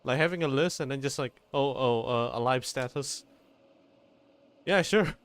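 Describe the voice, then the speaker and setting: A man's voice: mid-range voice, moderate pace, clear, resonant tone. A man streaming a game, reacting casually with profane, rambling, stop-start comments. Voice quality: rambling, profane, stammering restarts.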